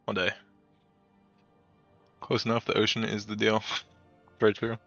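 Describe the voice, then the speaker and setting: A man's voice: fairly low pitch, monotone, a little slow, creaky, fairly bright tone, faint music behind. A young American man chatting casually on a game coding stream, rambling and laughing as he answers chat, through a dull lo-fi mic.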